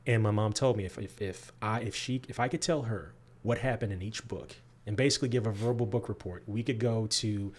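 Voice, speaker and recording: A man born in Georgia and raised in the Midwest, a guest author answering interview questions thoughtfully, with frequent pauses. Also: fairly low pitch, steady tone, darkish tone. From born in Georgia, grew up in the US Midwest.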